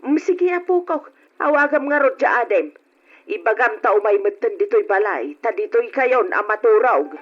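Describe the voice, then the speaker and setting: A woman playing a caring old grandmother in a radio drama, fretting in a measured, motherly way. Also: fairly high pitch, lively intonation. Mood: concerned. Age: older. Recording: dull, noisy old radio recording.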